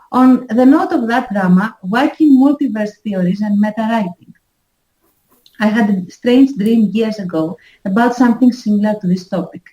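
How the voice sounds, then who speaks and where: A woman's voice: on the high side, dark tone, roomy sound, muffled recording. A woman on a podcast, reading out a strange dream story in a wry, reflective way. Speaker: podcast guest. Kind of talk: casual chat, reading a story.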